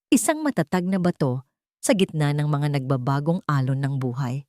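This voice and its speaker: A woman narrates a dramatic audiobook story in the first person. Her voice sits low, and the sound is dry with hardly any room in it. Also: a little mellow, dry recording.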